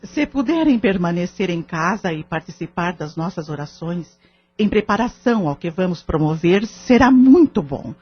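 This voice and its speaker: Kindly, husky-voiced Brazilian lady counsellor. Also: fairly low pitch, animated. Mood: reassuring. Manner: measured, formal, reassuring. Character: kindly, composed older lady of a spiritist centre. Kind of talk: audio drama acting in Portuguese. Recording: dull lo-fi audio drama recording.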